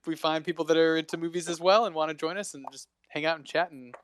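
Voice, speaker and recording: A man's voice: fairly high pitch, steady tone, quick pace, a bit bright, a little hiss or hum. A man in his late thirties, a guest on a live audio call, chatting in an upbeat, laughing way. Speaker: guest from a movie club project.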